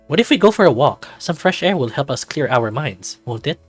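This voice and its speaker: A man's voice: a little high, very animated, a little fast, slightly rough, no echo, soft background music. A man voicing a caring, earnest young male companion in a visual novel. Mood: suggesting.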